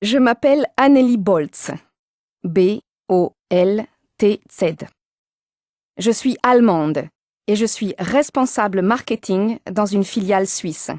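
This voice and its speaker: A woman acting in scripted language-course dialogue as a polite professional with clear delivery. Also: medium pitch, lively intonation, slow, a little mellow, dry recording, clean studio sound. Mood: introducing herself.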